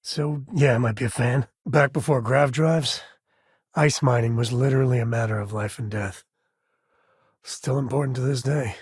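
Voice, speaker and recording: A man voicing a rugged, wry, warm-hearted space ranger in a video game, with a folksy, conversational way of talking. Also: medium pitch, fairly even intonation, normal speed, breathy edge, no echo, clean studio sound, lightly acted. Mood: easygoing. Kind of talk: video game character dialogue.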